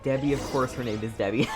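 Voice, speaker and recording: A woman streamer giving casual gameplay commentary with joking asides and low murmurs. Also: a little mellow. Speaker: streamer playing a game.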